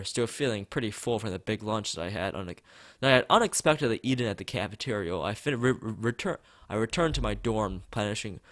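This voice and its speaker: A man's voice: lowish voice, fairly bright tone, no echo, studio quality. A man reading a visual novel aloud as a let's player, adding commentary and often stumbling and rereading lines.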